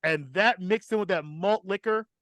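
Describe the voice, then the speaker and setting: A man with a high-pitched voice tells a casual story as a podcast host. He swears freely and emphatically, with a comic storyteller's delivery. The sound is dry and close. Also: moderate pace, fairly bright tone, no echo.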